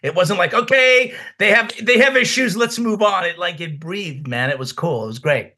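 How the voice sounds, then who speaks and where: A man's voice: high-pitched, animated, normal speed, pushed and bright, slightly rough. A man co-hosting an interview, casual and enthusiastic, swearing freely. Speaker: interview co-host.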